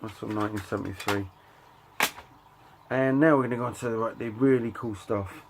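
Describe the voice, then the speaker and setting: A middle-aged man giving a casual show-and-tell of his collection, talking in a halting, offhand way and reading out titles in short bursts. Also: lowish voice, noisy background. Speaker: record collector and channel host.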